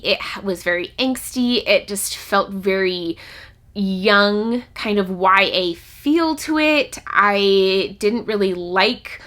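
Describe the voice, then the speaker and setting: A woman with a bright, forward voice at a middle pitch speaks as a vlog host. Her delivery is casual and chatty, with open enthusiasm as she gives her opinions. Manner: enthusiastic, gushing about favourites. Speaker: book reviewer and channel host. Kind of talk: casual chatty book talk to camera.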